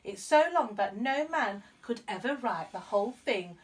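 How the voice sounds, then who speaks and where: A woman's voice: on the high side, expressive, a little slow, recorded from a distance. A woman voicing an old male poet, courteous, earnest and emphatic, in a children's storybook reading. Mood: excited.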